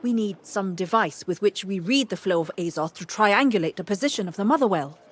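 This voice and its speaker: Animated, eager scholar adventurer. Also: female voice, medium pitch, fairly quick, breathy edge. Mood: excited, inspired. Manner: expressive, articulate, earnest. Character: eager, scholarly female fantasy adventurer ally. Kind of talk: dramatic video game dialogue.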